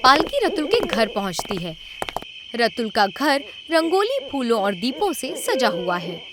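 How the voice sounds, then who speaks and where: A woman narrating a scripted animated horror story. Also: a little high, a little slow, heavy background noise.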